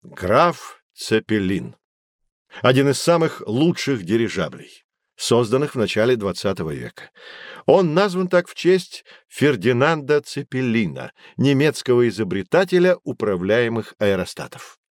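An actor playing a knowledgeable man who guides children through an educational audio drama, explaining things in a clear didactic way. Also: medium pitch, sing-song, unhurried, no echo, studio quality. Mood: patient, explanatory. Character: knowledgeable male teacher guiding children on adventures. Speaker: guide explaining history and technology.

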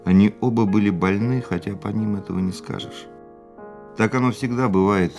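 An actor playing a fatalistic, world-weary racing driver in a Russian radio drama, speaking in a measured, reflective way. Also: male voice, on the low side, darkish tone, close to an everyday voice. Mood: weary, philosophical. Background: music.

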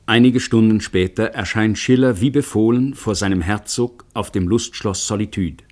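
Low-pitched male radio drama narrator. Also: moderate pace, a little mellow.

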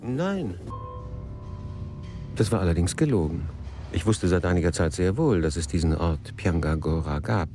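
An actor playing an evasive, courtly middle-aged newlywed husband in a radio drama, speaking formally and a little haltingly. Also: male voice, deep voice, lively intonation, warm tone, faint music behind. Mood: reflective confession. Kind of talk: radio drama dialogue and confessional narration.

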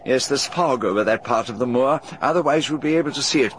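An actor playing a genial older gentleman with a fussy, chuckling manner in a radio drama. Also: medium pitch, fast, slight background noise, natural voice. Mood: chatty. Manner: chuckling, fussy, hesitant repeats. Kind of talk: 1930s-style BBC radio drama.

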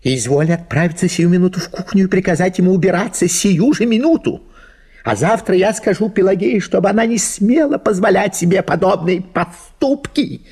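Shrill hysterical prudish wife. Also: male voice, animated, normal speed, mellow, breathy edge, full character voice. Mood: indignant, commanding. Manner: breathless, pleading, melodramatic exclamations. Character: hysterical, prudish, indignant wife at a country house.

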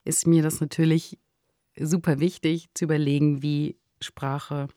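A woman's voice: deep voice, little pitch movement, a little slow, mellow, no echo. Dry, close-miked female podcast host. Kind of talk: conversational radio drama commentary.

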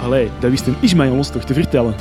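A man's voice: medium pitch, fast, over music, natural voice. An actor with a Flemish accent playing a folksy, chummy village fisherman in a radio drama. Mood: earnest.

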